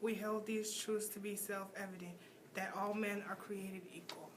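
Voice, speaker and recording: A woman's voice: mid-range voice, level tone, normal speed. A teenage girl, a student, reading a speech aloud. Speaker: student reader. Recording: clear background noise.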